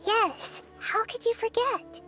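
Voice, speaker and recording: A woman voicing a small, kind, childlike girl deity in a video game, with a gentle, earnest and measured delivery. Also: very high voice, over loud music. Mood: curious, concerned. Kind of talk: scripted video game voice acting.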